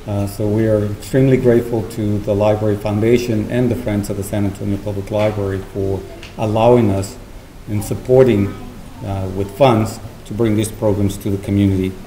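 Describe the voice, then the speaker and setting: A middle-aged man with a fairly low, mellow voice gives formal public remarks. He speaks haltingly into a podium microphone, and the room echoes around him. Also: level tone. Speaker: library official giving welcome remarks at a podium. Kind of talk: formal public speech with thanks and introductions. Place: large meeting hall with seated audience, indoors. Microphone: podium gooseneck microphone. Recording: roomy auditorium sound, faint noise.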